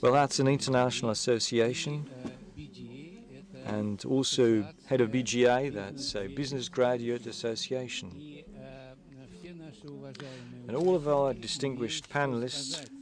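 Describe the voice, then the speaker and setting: Guy moderating a panel, talks slow, kinda unsure of himself, lots of uhs. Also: mid-range voice. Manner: hesitant, unsure, filler-heavy. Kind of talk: introducing panelists.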